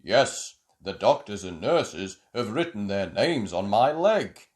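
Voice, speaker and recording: A good-natured young animal patient from a storybook, acted by a storyteller. The speech is emphatic and unhurried, with plenty of rise and fall. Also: sits low, sing-song, darkish tone, voice pushed down, full character voice. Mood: cheerful.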